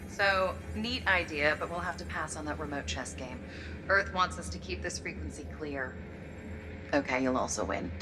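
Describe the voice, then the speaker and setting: Noisy space radio msg, friendly wry woman, lowish voice, sci-fi game. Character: friendly, dry-humoured woman on another ship's radio. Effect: radio transmission. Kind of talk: radio message, game acting.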